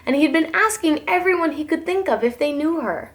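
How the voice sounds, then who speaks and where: A woman preschool teacher reading a picture book aloud to young children in an animated, dramatic storytelling manner. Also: high-pitched, brisk.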